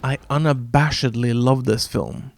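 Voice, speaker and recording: A man whose first language is Norwegian, hosting a video essay in a measured, wry scripted narration with dramatic pauses. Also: medium pitch, steady tone, creaky, noisy background. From Norway.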